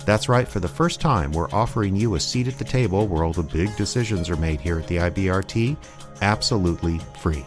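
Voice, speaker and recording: A man with a somewhat low voice serves as a radio theater announcer. He reads scripted narration, with music clearly playing behind him. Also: brisk.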